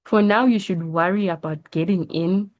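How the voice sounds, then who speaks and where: Low-voiced young woman giving gentle advice. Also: moderate pace. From South Africa. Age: young adult. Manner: reassuring, patient, frequent ums. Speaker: science student answering questions. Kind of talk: casual advice session. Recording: dull lo-fi audio.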